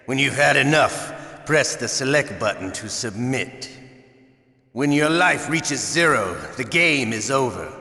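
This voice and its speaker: An actor playing a sadistic, theatrical older torturer in a video game, with a menacing, taunting, drawn-out delivery and a gravelly voice. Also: male voice, medium pitch, lively intonation, pushed and bright, exaggerated voice. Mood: mocking menace. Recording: echoey hall with long reverb.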